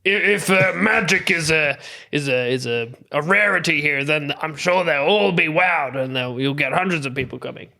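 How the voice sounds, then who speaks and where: A man with a fairly high, bright voice and plenty of creak plays a tabletop game. He improvises casually, feeling his way hesitantly through each sentence. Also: normal speed.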